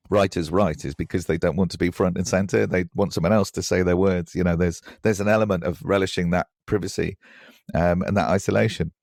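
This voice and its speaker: A man's voice: sits low, creaky, a little mellow, dry recording, studio quality. A man speaking as an interview guest, telling personal anecdotes in a thoughtful, hesitant, self-deprecating way. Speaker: podcast guest, writer.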